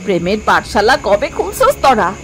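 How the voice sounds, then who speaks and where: A woman's voice: mid-range voice, huge pitch swings, normal speed, a bit bright, soft background music. A woman playing a strict, worried village mother in a cartoon, sarcastic and scolding. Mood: scornful scolding. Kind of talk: dramatic cartoon voice acting.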